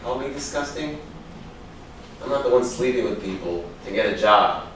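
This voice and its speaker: A man's voice: medium pitch, expressive, normal speed, a bit bright, natural voice. Man acting a volatile, possessive man, echoey room. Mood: demanding, wounded. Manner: accusing, pleading, swinging from bullying to begging. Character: volatile, possessive alcoholic man, a desperate failing writer. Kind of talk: staged play reading, dramatic dialogue. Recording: echoey room, distant microphone, faint noise.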